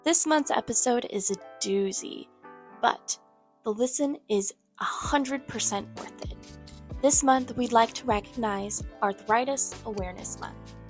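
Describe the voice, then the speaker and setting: A woman's voice: a little high, unhurried, soft background music. A woman reading a scripted podcast introduction in an upbeat, announcer-like way. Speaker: show announcer.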